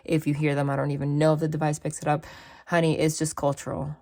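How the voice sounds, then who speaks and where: A woman tarot reader from New Jersey, chatting casually and frankly to her viewers from a desk at her parents' house, with a natural vocal fry and a slightly raspy voice. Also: low voice, level tone, fast talker, dark tone. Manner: chatty and rambling, frank asides.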